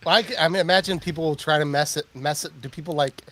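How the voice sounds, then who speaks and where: A man's voice: a little high, fast, sharp tone. A man co-hosting a podcast, asking curious questions and restarting his sentences. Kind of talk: casual podcast chat.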